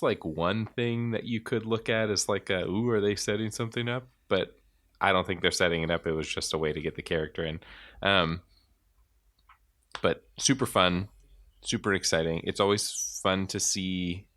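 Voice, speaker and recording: A man's voice: low voice, a bit bright. A man hosting a podcast in casual chat, rambling and hesitant with lots of fillers and restarts. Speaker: podcast host.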